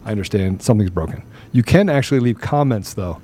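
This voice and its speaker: A man's voice: on the low side, lively intonation, fast, warm tone, slight background noise. A man hosting a live political talk show in a casual style, with a habit of repeating phrases for emphasis. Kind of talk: casual live talk, sometimes reading questions aloud.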